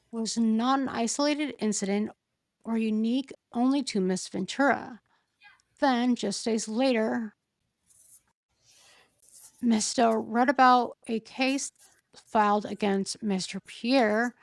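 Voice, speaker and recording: A hoarse woman reading a court filing aloud and pausing to think aloud between passages. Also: on the high side, no room sound. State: hoarse, losing her voice. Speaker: true-crime commentator.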